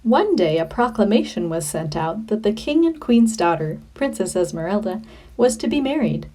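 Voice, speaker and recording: A woman reading a children's story like a teacher, with expressive, deliberate phrasing. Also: mid-range voice.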